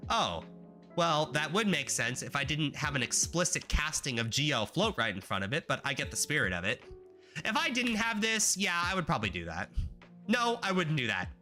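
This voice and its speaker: A man's voice: a little high, very animated, moderate pace, pushed and bright, soft background music. A man live-streaming while he codes, chatting casually and rambling with wry asides to his chat, his voice stuffy and nasal from congestion. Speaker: livestreamer learning graphics programming.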